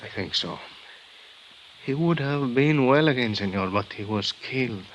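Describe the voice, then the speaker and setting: Actor doing a grave Mexican doctor. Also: male voice, medium pitch, lively intonation, highs cut off, lightly acted. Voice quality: measured, hesitant, halting. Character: middle-aged male Mexican hospital doctor, grave and formal. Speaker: actor in radio drama. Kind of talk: 1950s radio drama acting. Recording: old radio broadcast with faint hiss.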